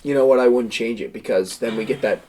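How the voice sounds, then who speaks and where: A man's voice: mid-range voice, quick pace, dark tone, a little hiss or hum. A young adult man co-hosting a podcast, chatting casually with his friends. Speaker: podcast co-host. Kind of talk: casual chat among friends.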